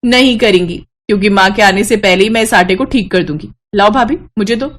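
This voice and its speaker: A woman's voice: mid-range voice, brisk, fairly bright tone, lightly acted. Woman acting a timid, eager young girl. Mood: shyly helpful. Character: timid, eager young sister-in-law, a sheltered student girl. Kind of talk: Hindi animated moral-story voice acting.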